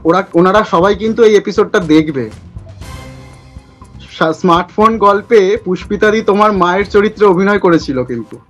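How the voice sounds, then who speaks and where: A man hosts a playful quick-fire interview. His voice sits high for a man, and music plays behind him as he chats and puts his questions. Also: highs cut off.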